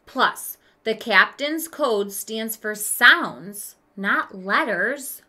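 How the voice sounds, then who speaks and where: A woman's voice: fairly high pitch, expressive, very slow, sharp tone, exaggerated voice. A woman reading the part of an earnest, determined teenage schoolboy in a storybook, speaking emphatically. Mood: frustrated.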